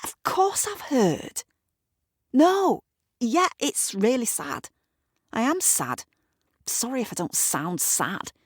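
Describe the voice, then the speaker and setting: A woman voicing a sharp-tongued, resentful woman arguing with her father, bitter and sarcastic. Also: medium pitch, dry recording, studio quality, pitched above the performer's own voice. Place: recording studio.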